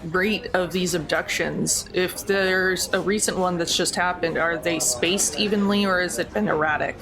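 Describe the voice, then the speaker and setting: A woman's voice: lowish voice, fairly even intonation, moderate pace, crowd noise, rough recording. A woman speaking casually as a player in a tabletop roleplaying game show. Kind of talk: casual chat.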